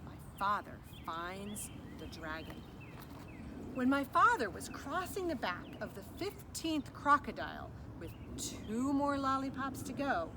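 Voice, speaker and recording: A woman reading a children's book aloud as an expressive narrator. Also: medium pitch. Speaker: children's story reader. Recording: distant mic with clear background noise.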